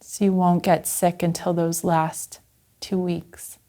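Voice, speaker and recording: A woman Zen teacher leading a guided meditation in a gentle, unhurried manner with long pauses. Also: fairly low pitch, level tone.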